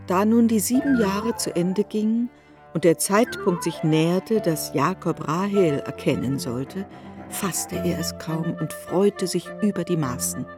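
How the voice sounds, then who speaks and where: A woman tells a literary story expressively in a lowish voice. Music plays clearly beneath her reading. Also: unhurried. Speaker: narrator. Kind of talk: scripted literary narration.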